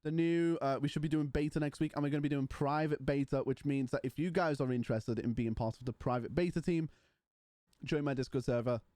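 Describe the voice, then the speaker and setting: Playful young streamer, dry close sound. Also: male voice, a little high, fairly even intonation, fairly quick, mellow, no room sound. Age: 20s. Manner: banters with chat, mocking and playful. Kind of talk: casual livestream chat.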